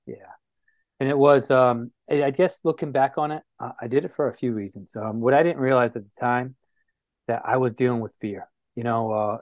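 A man from New Bedford, Massachusetts, a guest on an interview show, recounting his past reflectively with many pauses and fillers. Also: medium pitch, a little mellow, no echo.

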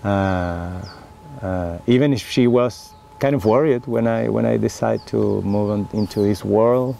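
Slow, gravelly Chilean man, halting. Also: fairly low pitch, dark tone, slight background noise. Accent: Chilean Spanish. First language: Spanish. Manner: halting, many fillers and restarts, earnest. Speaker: winemaker interviewee. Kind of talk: oral history interview.

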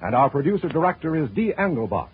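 Animated, high-pitched vintage male radio announcer. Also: a little hiss or hum. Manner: formal, grand, measured. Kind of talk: 1940s radio announcing, scripted. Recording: tinny vintage radio broadcast with music.

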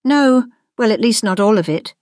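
A woman's voice: a little high, expressive, moderate pace, darkish tone, no echo, studio quality, voice pushed up, close to an everyday voice. Woman acting a composed, knowing witch schoolteacher. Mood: fond, knowing.

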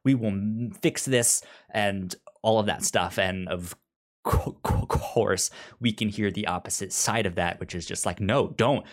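A man co-hosting a casual review discussion, talking haltingly and often repeating words as he works through his thoughts. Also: on the low side. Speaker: podcast co-host.

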